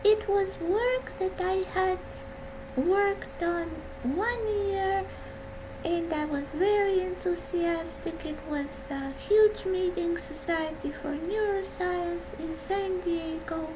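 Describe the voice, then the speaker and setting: A woman with a soft voice giving a gentle, earnest personal talk on mental health. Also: very high-pitched, slow pace. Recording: steady background hum.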